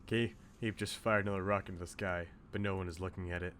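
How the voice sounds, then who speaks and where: A man's voice: low voice, fairly even intonation, quick pace, a bit bright, a little hiss or hum. A man streaming a video game, chatting casually with his viewers in rambling asides and reading on-screen text aloud.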